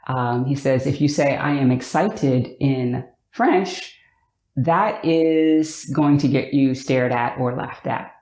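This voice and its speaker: An upbeat, expressive American woman from Virginia, talking to the camera in a vlog and drawing out words for emphasis. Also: very deep voice. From Virginia, USA. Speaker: vlogger. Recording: echoey room.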